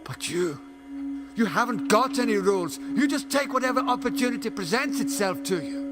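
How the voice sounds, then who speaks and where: A man's voice: high voice, expressive, moderate pace, very noisy. A man playing an impassioned, scornful alien in a fan audio drama, delivering grand declamatory speeches. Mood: scornful, lecturing. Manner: theatrical, declamatory. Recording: loud music over the voice.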